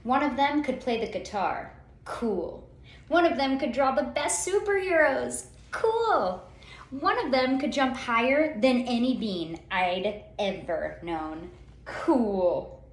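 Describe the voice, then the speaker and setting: A high-voiced woman reads a picture book aloud in a roomy, echoey space. Her delivery is theatrical and very lively in pitch, with dramatic pauses and stretched-out words for young listeners. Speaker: children's read-aloud storyteller. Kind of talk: expressive picture-book storytelling.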